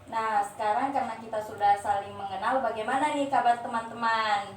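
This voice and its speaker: A woman's voice: a little high, fairly even intonation, brisk. Young woman leading a group, echoey room. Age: young adult. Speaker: group therapy leader in a nursing student role-play. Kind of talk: guiding a role-play session. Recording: roomy, distant, faint noise.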